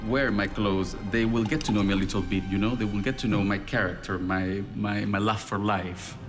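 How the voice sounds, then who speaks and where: An actor playing a warm, reflective Italian male fashion designer in a screen drama. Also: lowish voice, fairly even intonation, moderate pace, music in the background, natural voice. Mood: earnest, tender. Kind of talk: film drama acting.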